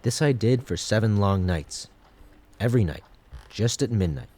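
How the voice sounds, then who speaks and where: An actor playing an obsessive madman who confesses to murder in intense, theatrical gothic narration, swinging between hushed and loud delivery. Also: fairly low pitch, animated, moderate pace. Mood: hushed, stealthy. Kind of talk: dramatic first-person gothic narration, audio drama.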